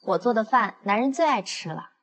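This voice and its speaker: An actress playing a seductive single female neighbour, coy, teasing and suggestive, in an animated drama. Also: fairly high pitch, brisk, a little hiss or hum. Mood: flirtatious, coy. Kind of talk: Chinese animated audio drama acting.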